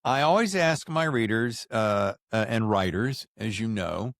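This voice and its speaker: A man with a fairly low voice hosts a casual interview podcast. He talks conversationally and tells stories in an animated way, playing out little quotes as he goes. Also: unhurried, dry recording, studio quality. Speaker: podcast host.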